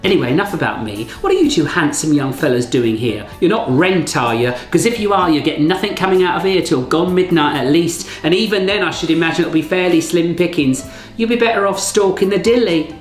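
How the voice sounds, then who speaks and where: A man voicing a camp, kindly English cabaret entertainer in his fifties for an audiobook, with crisp, theatrical, teasing delivery. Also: a little high, lively intonation, brisk, voice pushed up, close to an everyday voice. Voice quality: crisp, well presented. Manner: arch, teasing, theatrical, delicate. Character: camp, kindly, worldly fifty-ish male cabaret entertainer. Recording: roomy echo, faint music behind.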